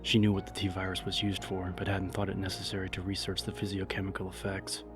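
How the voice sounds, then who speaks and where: A man's voice: sits low, monotone, quick pace. A man reading scripted dramatic fiction as an audiobook narrator. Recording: music behind the narration. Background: music.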